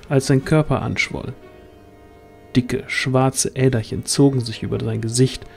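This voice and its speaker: A man's voice: mid-range voice, mellow. Man narrating fantasy over music. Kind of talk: scripted fantasy audio drama narration.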